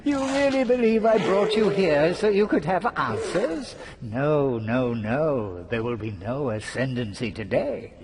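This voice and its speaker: An actor playing a gleeful, taunting trickster villain in a video game, with mocking, theatrical relish. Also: male voice, on the high side, very animated, measured pace, few pauses, noisy background. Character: gleeful, taunting male trickster schemer holding court.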